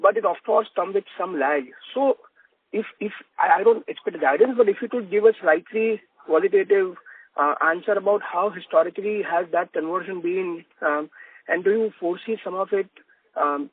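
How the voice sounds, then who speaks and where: A man's voice: high-pitched, breathy edge. A man asking questions as an analyst on an earnings call, polite and discursive, rephrasing as he goes. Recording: muffled narrow phone-line audio.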